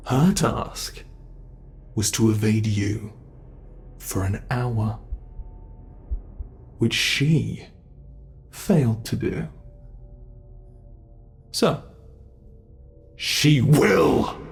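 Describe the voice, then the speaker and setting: A British man voicing a cruel male monster who keeps a human captive, taunting in a slow, mocking way for an ASMR horror roleplay. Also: fairly low pitch, gravelly, darkish tone, a little hiss or hum, full character voice.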